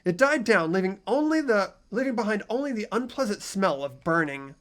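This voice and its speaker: A man reading a visual novel aloud in a theatrical, expressive way, with casual asides as a player. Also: high-pitched, normal speed. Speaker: player voicing and narrating in a fan playthrough.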